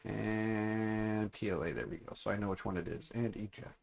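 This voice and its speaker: A man hosting a casual livestream, congested and raspier than usual, rambling and repeating himself as he talks to his chat. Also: fairly low pitch, steady tone, normal speed, darkish tone. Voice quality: raspy, congested. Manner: mild swearing, offhand. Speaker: livestream host.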